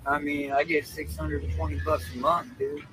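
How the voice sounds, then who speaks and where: A man's voice: on the high side, level tone, normal speed, fairly bright tone. A man answering a street interview in a blunt, slangy, casual way, recorded outdoors. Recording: noisy outdoor street clip with traffic.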